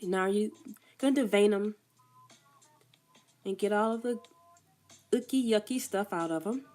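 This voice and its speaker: A woman's voice: medium pitch, unhurried, a little mellow, faint music behind. A woman with a cold, sounding congested and coughing now and then as she walks through a recipe step by step in a casual cooking demonstration. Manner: plain step-by-step instructions with frequent coughs. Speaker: cook. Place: food truck kitchen.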